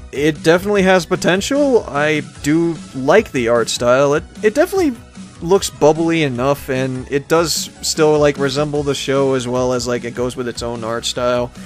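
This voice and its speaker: A young man giving casual, hesitant, rambling gameplay commentary as a let's player, easily amused by what he plays. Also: medium pitch. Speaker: video game let's player. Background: game music.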